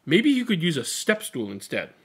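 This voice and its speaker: A man in his thirties doing an expressive picture-book read-aloud with casual commentary. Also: a little high, normal speed, husky. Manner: expressive, animated storytelling. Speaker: read-aloud storyteller and host. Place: small indoor room at home.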